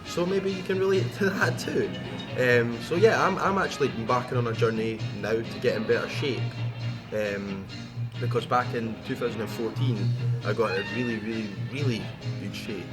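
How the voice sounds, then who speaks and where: Guy doing a casual motivational chat, blunt and emphatic, repeats himself, normal pitch, music behind him. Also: moderate pace, poor audio. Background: background music.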